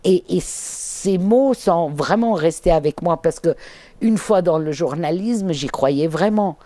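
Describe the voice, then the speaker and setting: Slow, mellow-voiced woman guest. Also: fairly low pitch, no room sound. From England. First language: Finnish. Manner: hesitant, halting with many fillers. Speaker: interview guest, documentary filmmaker. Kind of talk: reflective interview storytelling.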